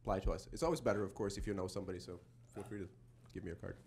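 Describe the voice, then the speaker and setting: A man speaking as a panelist in a live investor panel, measured and a little halting. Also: low-pitched, darkish tone, slight background noise.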